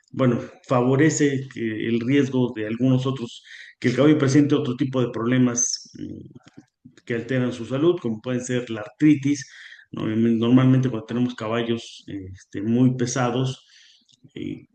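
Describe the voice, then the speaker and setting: A man's voice: medium pitch, a little mellow. A man giving an online conference lecture, hesitant with many fillers and a slightly sore throat. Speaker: guest lecturer on equine nutrition. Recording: roomy echo.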